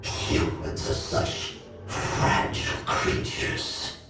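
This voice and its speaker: Echoing, theatrical nightmare monster villain. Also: male voice, drawn-out words. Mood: formal, wistful. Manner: theatrical, smug, formal villain. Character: ancient male nightmare monster, sly, last of his kind. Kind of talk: video game voice acting. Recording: echoing reverb, distant, music behind.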